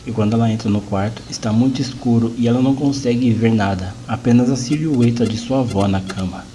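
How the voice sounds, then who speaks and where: A man's voice: mid-range voice, a little fast, warm tone, slight background noise. A man with a Brazilian accent narrating a scripted horror story.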